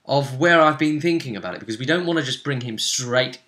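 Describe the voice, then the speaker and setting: A man hosting a tabletop roleplay session, recapping in casual, expressive chat. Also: mid-range voice, a little fast. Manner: animated, expressive. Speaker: host and game master of a tabletop roleplay series.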